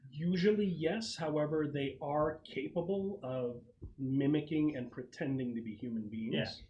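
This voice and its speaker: A man's voice: fairly high pitch, measured pace, a little mellow, dull audio. A man acting as game master, explaining the scenario in a conversational way and piecing details together as he goes. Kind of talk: casual tabletop game narration. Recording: noisy room recording with clicks.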